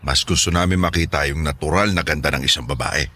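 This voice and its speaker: A man's voice: extremely low pitch, quick pace, bright, forceful tone, heavily acted. An actor playing a protective middle-aged Filipino father in a radio drama, dryly teasing and strict. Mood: fatherly advice.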